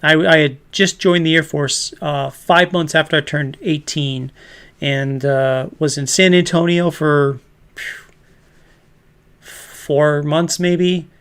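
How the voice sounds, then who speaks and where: Gravelly fifty-year-old man, fairly high voice. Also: fairly even intonation, slow, fairly bright tone. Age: 50s. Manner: rambling, laughs often. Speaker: host. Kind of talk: casual livestream chat.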